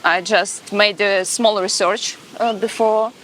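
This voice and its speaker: A young woman answering interview questions outdoors, speaking carefully with pauses. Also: medium pitch, steady tone, a bit bright, slight background noise. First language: Ukrainian. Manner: careful, pausing, simple phrasing. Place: beach outdoors.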